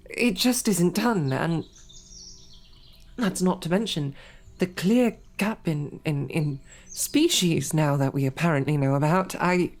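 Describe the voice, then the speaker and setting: A woman playing a snarky, devoted female knight, stammering and flustered, often dropping to soft whispers. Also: low voice, takes it slowly. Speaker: audio drama performer. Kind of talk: romantic audio roleplay.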